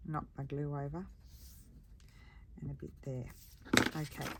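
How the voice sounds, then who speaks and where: A woman with a croaky voice, chatting casually and thinking aloud as she makes paper crafts at her craft table. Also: low voice, level tone, measured pace, very noisy. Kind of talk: casual crafting chat while working.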